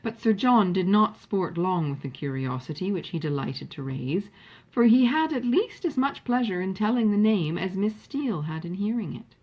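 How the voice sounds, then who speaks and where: A woman's voice: medium pitch, animated, moderate pace, darkish tone, breathy edge. A woman reading a classic novel aloud as an audiobook narrator, in a literary storytelling style. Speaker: audiobook reader.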